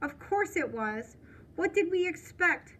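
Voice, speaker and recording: A woman's voice: extremely high pitch, expressive, a little slow, fairly bright tone, heavily acted. A woman reading the part of a hopeful, tender mother in a storybook, earnest and reassuring.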